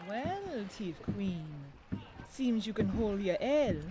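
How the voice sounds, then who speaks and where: An actress playing a brash, foul-mouthed, commanding pirate leader in an audio drama. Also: female voice, medium pitch, animated, slow, over music. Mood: taunting. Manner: taunting, commanding. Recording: clear background noise, clicks.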